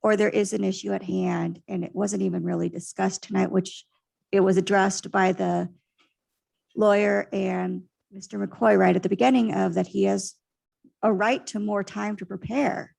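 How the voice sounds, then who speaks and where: A woman with a soft voice giving a pointed public comment at a city council meeting. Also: medium pitch, steady tone, moderate pace, creaky. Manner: earnest, pointed criticism.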